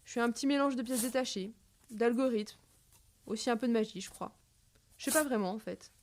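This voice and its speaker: A woman's voice: on the high side, brisk, a little mellow, lightly acted. A woman playing a long-lived gynoid botanist, reminiscing in a conversational, wondering way in an audio drama. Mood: musing. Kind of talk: intimate monologue in a fiction podcast.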